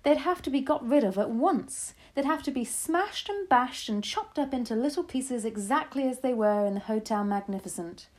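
A feisty old grandmother from a children's storybook, acted out by a woman. She is fierce and gleeful, making bold, emphatic, impatient declarations at a raised pitch. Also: lively intonation, moderate pace, few pauses, close to an everyday voice. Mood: impatient, forceful. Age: older. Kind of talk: storybook read-aloud.

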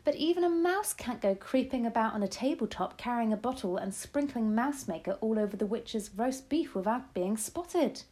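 Woman doing a warm, emphatic old grandmother. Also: on the high side, lively intonation, normal speed, hardly any pauses, pitched above the performer's own voice. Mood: urgent, caring. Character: elderly grandmother, loving and resourceful.

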